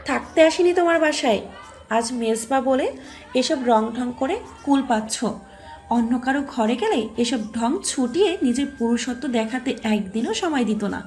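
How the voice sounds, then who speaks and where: A high-pitched, bossy neighbour woman played by a woman in an audio story drama. She scolds and lectures with sarcastic, needling questions. Soft music runs behind her. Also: expressive, normal speed. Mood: scornful.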